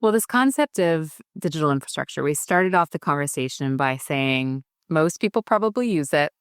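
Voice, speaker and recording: A woman hosts a podcast and asks her guest thoughtful questions in a relaxed, conversational way. Her voice sits very low for a woman. The recording is dry and close. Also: moderate pace, clean tone, no echo. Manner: attentive, asks reflective questions. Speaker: podcast host. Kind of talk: casual interview talk.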